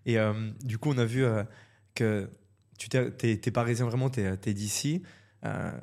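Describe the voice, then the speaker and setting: Low-voiced podcast host, loose and chatty. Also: male voice, normal speed. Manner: relaxed, chatty, stumbling restarts. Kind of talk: casual interview hosting.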